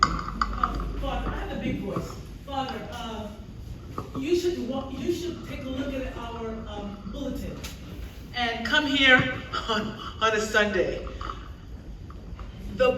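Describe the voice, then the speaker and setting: An older woman from the audience makes a halting, fervent comment, often restarting her sentences. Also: on the high side, noisy background. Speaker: audience member. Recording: echoey hall, voice from far off.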